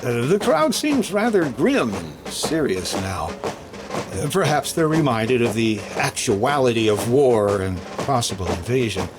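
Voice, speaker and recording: An actor playing a radio announcer reporting live on a parade in 1950s radio drama style, dramatic and hesitant. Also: male voice, mid-range voice, sing-song, a little slow, over music. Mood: solemn, awed.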